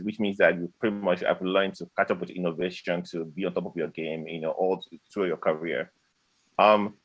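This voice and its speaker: A young man with a very low voice puts a long, rambling question to his guest, padding it with fillers. The sound is dry, with no room echo. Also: a bit bright. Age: young adult. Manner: filler-heavy, rambling phrasing. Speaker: interviewer.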